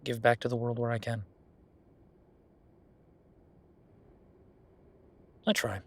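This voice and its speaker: A man's voice: medium pitch, steady tone, quick pace, natural voice. A man playing a friendly, self-deprecating young billionaire in a casual, intimate audio roleplay, rambling informally and playfully defensive as he talks to the listener. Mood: sheepish, musing.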